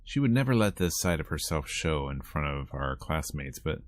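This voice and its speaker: A man's voice: very deep voice, sing-song, moderate pace, dry recording, clean studio sound. A man streaming a visual novel, reading its text aloud and breaking off into casual, wry asides. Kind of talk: reading game dialogue aloud with casual commentary.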